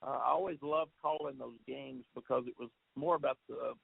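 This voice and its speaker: A man's voice: mid-range voice, fairly even intonation, a little fast, creaky, slightly rough, no echo. A man who is a football head coach, answering questions on a press conference call in a rambling way, often repeating words and saying "uh". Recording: phone line.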